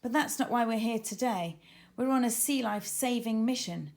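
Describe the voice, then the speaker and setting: A woman's voice: fairly high pitch, normal speed, natural voice. A woman reading the part of a confident, friendly young girl marine biologist in a children's storybook, explaining things in an assured way. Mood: purposeful.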